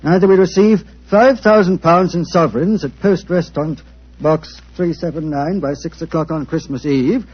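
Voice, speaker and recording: An actor playing an anxious, courteous, well-off British lord, hesitant and fussy, in a 1940s radio drama. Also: male voice, on the high side, moderate pace, breathy edge. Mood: worried, earnest. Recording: dull 1940s radio recording.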